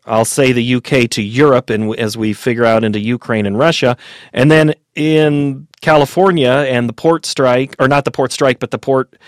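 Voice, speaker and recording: A man's voice: medium pitch, normal speed, dry recording. A male interviewer asks long, rambling questions in a conversational way, often repeating words as he works out his point. The sound is dry and close to the mic. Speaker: host.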